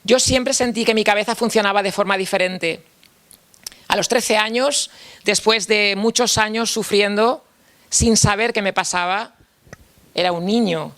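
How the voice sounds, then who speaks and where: A man's voice: high voice, a little slow, a bit bright. A man from Spain with a Spanish accent gives a personal testimony talk, reflective and candid, often repeating phrases and closing points with a questioning tag. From Spain, Castilla-La Mancha. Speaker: speaker at a mental health talk.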